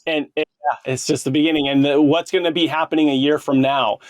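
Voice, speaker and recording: A man's voice: fairly high pitch, little pitch movement, a little fast, dry recording. A man in his late thirties hosting a podcast, talking earnestly and informally with plenty of bro slang. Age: late 30s. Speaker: podcast host. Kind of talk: casual conversational podcast interview.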